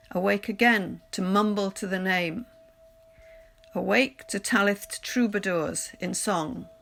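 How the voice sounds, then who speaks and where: A woman recites poetry at an unhurried, deliberate pace. Her mid-range voice has a strong creak, and faint music plays behind her. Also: mid-range voice, a bit bright. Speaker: poetry reader.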